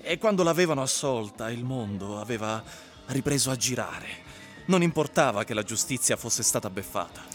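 A brooding husband in a radio drama, played by a man. His voice sits at a medium male pitch, and he speaks in an introspective, literary way, like someone lost in infatuation. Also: lively intonation, moderate pace, faint music behind, close to an everyday voice. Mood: brooding, wistful. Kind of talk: radio drama monologue and dialogue.

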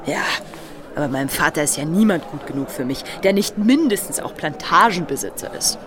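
A woman's voice: sits low, expressive, brisk, crowd noise. An actress playing a headstrong, curious young woman disguised as a boy in a German radio play. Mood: fond, puzzled. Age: young adult.

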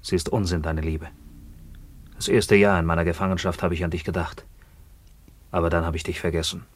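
A man's voice: flat delivery, fairly quick. A man playing a bitter, maimed Roman back from captivity, declaiming with grim command in a radio drama. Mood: cold, commanding. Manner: declamatory, grim, swelling from low murmurs to fierce cries. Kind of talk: theatrical German radio drama acting.

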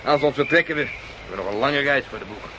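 A man acting an unruffled noble traveller in a radio drama. He has a medium-pitched voice and a dry, teasing manner, with faint noise in the recording. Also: fast, lightly acted. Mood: modestly amused. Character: calm, amused noble traveller, modest benefactor. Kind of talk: Dutch radio play acting.

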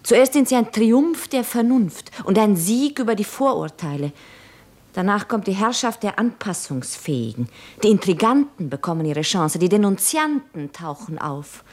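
An actress playing a clear-sighted, devoted woman who reasons insistently in a German radio play. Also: medium pitch, lively intonation, a little slow, a little mellow. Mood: sober, disillusioned.

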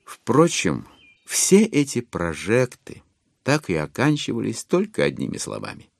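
A man playing a wry author-narrator in an audio drama, phrasing deliberately and expressively with irony. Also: fairly low pitch, wildly swooping pitch, vocal fry, no room sound, faint music behind, full character voice.

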